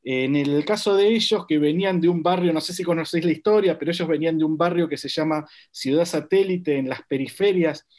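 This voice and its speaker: A man's voice: a little high, a little fast, hardly any pauses, darkish tone. A man hosting an interview podcast, asking long, carefully framed questions. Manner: articulate, long framing questions. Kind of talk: interview questions and scripted intro.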